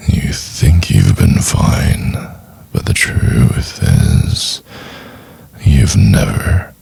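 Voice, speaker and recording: An extremely low male voice, thick with fry and very breathy, a man acting an obsessive boyfriend in an ASMR roleplay. He croons in a hushed half-whisper, possessive and softly menacing, drawing his words out very slowly. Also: dry recording, heavily acted. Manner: slow, hushed, crooning insistence. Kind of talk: intimate ASMR roleplay monologue.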